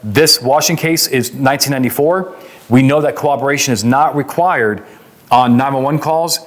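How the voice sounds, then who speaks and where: A man's voice: medium pitch, normal speed. American man, emphatic conversational instructor. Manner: emphatic, conversational, asks and answers own questions. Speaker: police legal instructor. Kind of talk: explanatory legal lecture.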